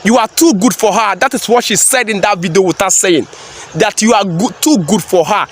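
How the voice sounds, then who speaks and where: A man's voice: high voice, fairly quick, a little hiss or hum. A man giving a preachy, emphatic reaction commentary, repeating phrases for effect. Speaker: commentary vlogger.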